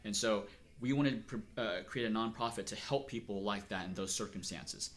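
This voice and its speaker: A middle-aged man from Texas speaks in an interview, earnest and measured, with thoughtful pauses between his phrases. His voice sits a little on the low side. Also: fairly bright tone. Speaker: interviewee, acupuncturist. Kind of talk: reflective personal interview.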